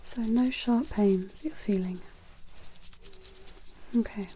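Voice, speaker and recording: Softly whispering ASMR woman, mellow. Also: mid-range voice, slight background noise. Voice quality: breathy whisper. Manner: gentle, reassuring, unhurried instructions. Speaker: ASMR roleplay host playing an examiner. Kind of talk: ASMR roleplay, soft whispering.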